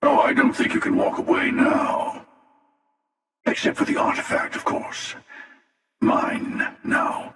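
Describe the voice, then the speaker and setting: An actor playing a ruthless, world-weary, ancient hunter from space in a video game, taunting and sardonic in manner. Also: male voice, on the high side, gravelly, a bit bright, full character voice. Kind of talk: video game character acting. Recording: roomy, distant, long reverberant echo.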